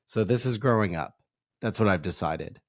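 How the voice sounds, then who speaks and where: A young man co-hosts a casual sports podcast with a hoarse, raspy voice. He speaks in a relaxed, self-mocking way. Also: on the low side, moderate pace. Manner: laid-back, self-deprecating. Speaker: podcast co-host.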